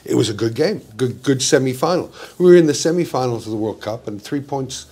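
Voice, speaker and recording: A man's voice: mid-range voice, lively intonation, brisk, mellow, husky. A man from Wales talking through rugby in a rambling, hesitant way, with many fillers. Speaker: rugby pundit. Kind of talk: casual rugby discussion.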